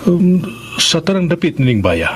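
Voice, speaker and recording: An actor playing a gentle, grieving widowed master of the house in a Filipino radio drama, halting and sentimental, with a Visayan accent. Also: male voice, a little high, sing-song, a little hiss or hum. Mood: wistful. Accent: Visayan Philippine.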